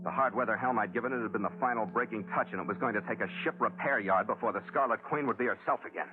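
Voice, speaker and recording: Actor as a fast-talking hard-boiled American captain. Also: male voice, on the low side, loud music behind the voice, natural voice. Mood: grim narrating. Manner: terse, brusque, hard-boiled. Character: hard-boiled, brusque male sea captain telling his adventure. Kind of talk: 1940s radio drama narration and acting. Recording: tinny old radio recording.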